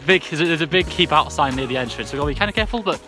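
A young man talks into a phone at arm's length out in the hills, chatty and upbeat, laughing between lines. His voice sits a little high. Clear background music plays under him. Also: expressive, rapid-fire. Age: young adult. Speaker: vlogger host. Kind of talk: casual vlog narration. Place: outdoors in hills and ruins. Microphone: handheld phone at arm's length.

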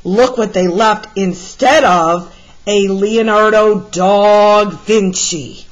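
A woman's voice: medium pitch, slow pace, muffled recording, natural voice. A woman voicing an alarmed, exclamatory dog museum director in a children's storybook.

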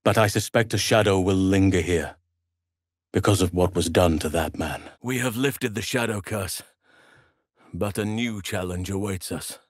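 A man playing a wise old elf druid in a video game, protective and solemn, speaking in measured, earnest, formal phrases. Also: low-pitched, no room sound, studio quality. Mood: solemn, hopeful. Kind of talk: video game character dialogue.

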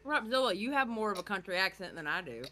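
A bright, sharp voice full of lively pitch swings, teasing the other guests on a relaxed group call. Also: fast. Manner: playful teasing. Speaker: panel guest. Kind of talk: casual chat on a video call.

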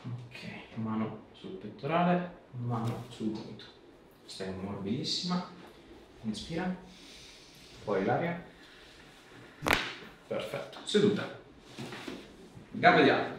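A man's voice: medium pitch, big pitch swings, slow pace, pauses often. A man in his thirties giving short, reassuring treatment instructions as a chiropractor and asking the patient questions. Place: small indoor clinic treatment room. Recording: very echoey room, distant mic, faint noise.

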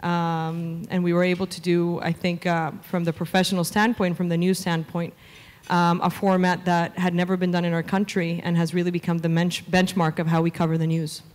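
A woman's voice: on the low side, flat delivery, fairly quick, a little mellow. A woman in her thirties from Venezuela, a media executive answering onstage interview questions into a handheld mic, fluent with frequent "um" fillers. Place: conference stage before a seated audience. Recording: reverberant conference hall.

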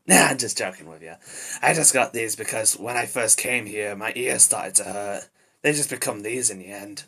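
A man voicing a short-tempered demon devil with bat-wing ears in amateur character acting, with a raspy voice and an irritable, flustered, blurting manner. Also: on the low side, normal speed, sharp tone, full character voice. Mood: joking. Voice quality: raspy, gravelly.

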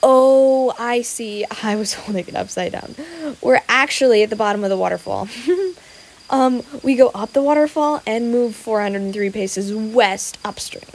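A woman's voice: on the high side, normal speed, a little hiss or hum, natural voice. A woman voicing a goofy, reckless young male adventurer in an audio roleplay, bumbling and playful as the character talks to a companion. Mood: cheerful, bumbling. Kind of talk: audio roleplay addressed to the listener.

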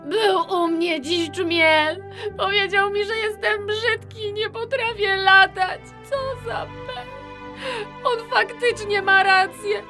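A woman voicing a fragile little male butterfly with low self-esteem in a children's audio story, speaking plaintively. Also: steady tone, bright, forceful tone, soft background music, exaggerated voice. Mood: dejected. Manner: plaintive, slow.

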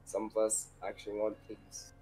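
A man's voice: lowish voice, monotone, normal speed, mellow, lightly acted, low-quality recording. A man playing a worried, peace-seeking demon in an improvised anime game roleplay, hesitant and subdued. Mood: hesitant, troubled. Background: faint music.

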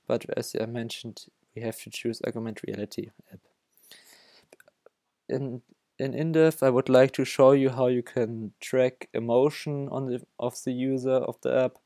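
A man's voice: mid-range voice, dark tone, no echo, clean studio sound. A young man from Germany with a German accent, talking through a coding tutorial over his screen, often stopping to restart his sentences. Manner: halting, many restarts and self-corrections. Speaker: developer tutorial host.

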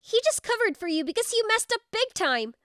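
A woman's voice: very high-pitched, big pitch swings, fairly quick, a bit bright, slight background noise, exaggerated voice. An actress playing a firm, protective young female office senior in a manga story dub, assertive and plain-spoken. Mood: angry.

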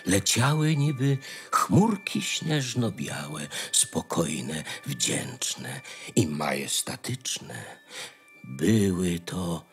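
A man narrating a fairy tale in a theatrical, expressive storytelling manner. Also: deep voice, drawn-out words, soft background music.

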